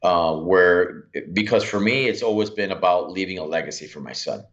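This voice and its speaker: A man's voice: sits low, a bit bright. A man in his forties from Miami, telling his story earnestly in an interview, often restarting his sentences. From Miami, USA. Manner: earnest, halting, many restarts. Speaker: podcast guest. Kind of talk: reflective interview talk. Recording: dull lo-fi sound.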